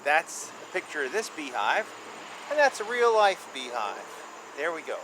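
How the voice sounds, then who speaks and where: A man reading a children's picture book aloud and explaining it informally in simple terms, with an animated manner. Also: fairly high pitch, normal speed, noisy background.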